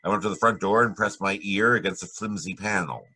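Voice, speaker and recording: Brisk, wry male gumshoe, bright forceful edge, low pitch, old-time radio crime drama. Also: breathy edge, exaggerated voice, low-quality recording. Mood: narrating, tense. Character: wisecracking hard-boiled male private detective, brisk and wry. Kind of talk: 1940s radio detective drama acting and narration.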